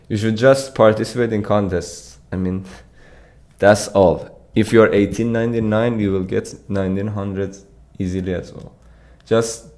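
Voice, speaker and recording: Relaxed man from Iran streaming live. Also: on the low side. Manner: relaxed, reads and answers chat. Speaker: competitive programming streamer. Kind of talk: casual live stream chat. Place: small dim room with pink light. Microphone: studio mic on arm.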